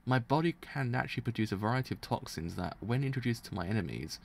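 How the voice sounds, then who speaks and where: A man's voice: mid-range voice, animated, fast, vocal fry. A man voicing a cunning, disdainful beast fighter with venom, scheming and contemptuous, in a story reading. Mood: scornful, scheming. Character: cunning, disdainful poisonous beast fighter who trusts nobody.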